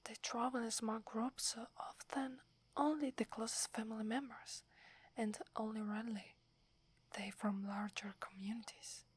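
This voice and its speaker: A young woman with an Italian accent playing a devoted, humble werewolf warrior girl in an ASMR roleplay, speaking in a soft breathy whisper. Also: a little high, fairly even intonation. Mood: reverent, wondering. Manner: slow, hushed, deferential.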